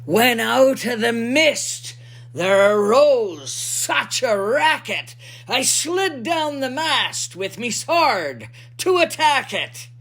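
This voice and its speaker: A woman voicing a salty old pirate lookout, spinning a rhyming Christmas yarn in a theatrical, drawn-out manner. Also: fairly high pitch, very animated, a bit bright, voice pushed up, exaggerated voice. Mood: excited.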